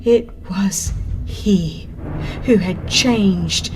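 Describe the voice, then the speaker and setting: A woman reads a dramatized religious history as a narrator, in a solemn, deliberate storytelling manner. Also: mid-range voice. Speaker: audiobook narrator. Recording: music behind the narration. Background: music.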